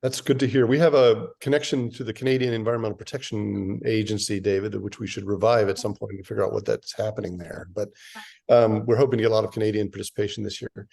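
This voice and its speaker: A man's voice: fairly low pitch, steady tone, fast talker, vocal fry, a little mellow, breathy edge. A man hosting a webinar on a video call, speaking casually with many fillers. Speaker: webinar host, graduate program director.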